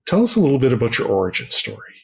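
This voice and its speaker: A man's voice: medium pitch, big pitch swings, racing, creaky. A man with a mid-range voice hosts the interview. He speaks briefly, mostly with affirming replies that draw out his guest. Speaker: podcast host. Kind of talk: interview conversation.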